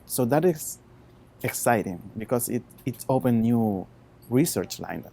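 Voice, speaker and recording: A man in his thirties with a Chilean Spanish accent, a researcher talking informally about his work in halting non-native English. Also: medium pitch, animated, creaky, mellow, slight background noise. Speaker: postdoctoral researcher.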